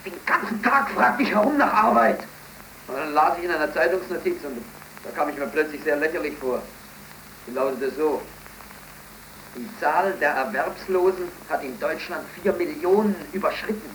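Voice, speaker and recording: A man's voice: on the high side, normal speed, fairly bright tone. In a noisy 1930s German radio play, an actor plays a bitter, despairing unemployed blacksmith in his twenties, theatrical and brooding. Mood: bitter, resigned. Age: 20s.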